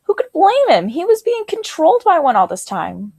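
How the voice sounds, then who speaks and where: Excitable high-voiced woman reacting. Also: normal speed, gravelly, no echo. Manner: excitable, exclamatory. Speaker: reaction video host. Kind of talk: casual reaction commentary.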